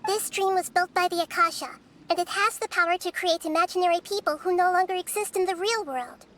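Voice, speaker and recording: A woman voicing a wise, perceptive young goddess in child form for a video game, speaking in a calm, measured way. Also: very high voice. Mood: calm, probing.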